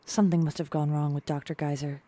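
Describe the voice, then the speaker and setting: Young shapeshifting heroine, played by a woman, lowish pitch, dry close recording, wry and caring. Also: flat delivery, quick pace, mellow, dry recording. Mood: wry concern. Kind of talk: audio drama acting.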